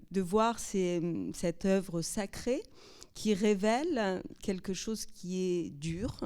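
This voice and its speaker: A woman speaking as a panel guest, reflective and earnest, picking out her words deliberately. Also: lowish voice, warm tone.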